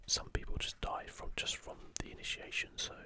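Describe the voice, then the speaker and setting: A man speaking in a breathy, unvoiced whisper, giving soft ASMR commentary as he hosts a video. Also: brisk.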